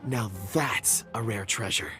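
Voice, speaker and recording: An actor playing a cheerful, eager young gentleman rock appraiser in a game, with courteous, florid speech. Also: lowish voice, measured pace, over music, close to an everyday voice. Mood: enthralled. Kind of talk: scripted game character dialogue.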